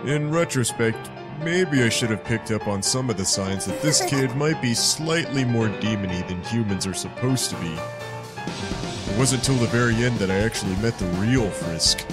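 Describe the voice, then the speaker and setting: Guy playing a sardonic tired protector type, teasing, fan drama, loud music under him. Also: medium pitch, lively intonation, normal speed, natural voice. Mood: wry recollection. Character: wry, taunting male guardian, grimly resigned, loves stalling. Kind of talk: dramatic fan-dub acting with narration.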